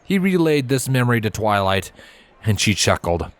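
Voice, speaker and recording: A man's voice: on the low side, big pitch swings, normal speed, no room sound. A man reading scripted story narration as the narrator.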